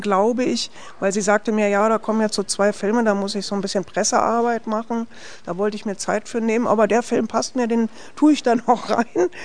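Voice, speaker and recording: A woman from West Berlin talks through her work as a film director in a relaxed interview. Her speech is chatty and full of restarts. She keeps tagging a quick "ne?" onto her sentences. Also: fairly high pitch. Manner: lively, tags sentences with "ne?", frequent restarts. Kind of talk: conversational interview answers.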